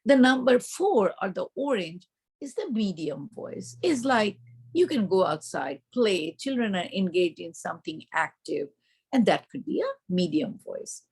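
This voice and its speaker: Woman, Indian accent, slightly raspy, mid-low pitch register for instruction, teacher-training presenter, explanatory, question-and-answer phrasing. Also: very animated, unhurried, a little mellow.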